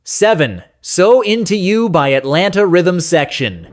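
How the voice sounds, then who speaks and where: Higher-pitched man narrating a nostalgic countdown. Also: very animated, unhurried. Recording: music playing behind the voice. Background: music.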